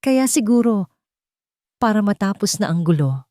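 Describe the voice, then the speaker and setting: A woman's voice: mid-range voice, expressive, moderate pace, warm tone, no echo, studio quality, lightly acted. A woman with a Filipino accent voicing a soothing, scheming young wife in a tender, coaxing manner for an audio story. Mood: soft coaxing.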